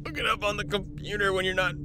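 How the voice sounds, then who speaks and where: An actor playing an earnest, defiant scientist in an audio drama, speaking with sardonic intensity. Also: male voice, high-pitched, little pitch movement, fast talker, over music, lightly acted. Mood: wounded, defiant. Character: earnest, defiant male scientist, bitter at corporate greed. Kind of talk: dramatic radio play acting.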